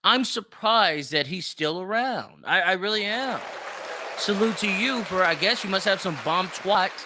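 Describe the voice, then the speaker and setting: Bright, forceful man giving blunt commentary. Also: sits high, animated, measured pace, no echo, some chatter behind. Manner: blunt, mocking, profane, emphatic repetition. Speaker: podcast host. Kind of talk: solo opinionated commentary.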